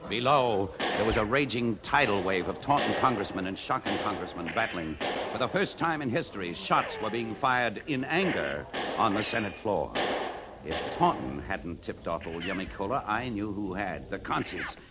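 A very low-pitched disillusioned ad man from 1950s radio drama. He speaks in a hardboiled, reflective manner, like a weary man recounting his own downfall. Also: big pitch swings, moderate pace, heavy background noise. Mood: grave, resigned. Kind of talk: 1950s radio drama acting and first-person narration.

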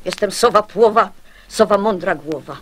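A woman's voice: medium pitch, moderate pace, slight background noise, lightly acted. A woman voicing a dismissive, bookish owl, hooting and self-satisfied, in a children's radio play. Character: dismissive, bookish female owl, proud of her wisdom.

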